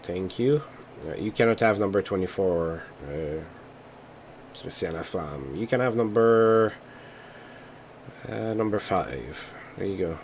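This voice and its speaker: A man's voice: sits low, lively intonation, a little slow, long pauses, darkish tone, a little hiss or hum. A man, not a native English speaker, hosting a let's play and talking casually through game results as he thinks aloud. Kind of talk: casual gameplay commentary.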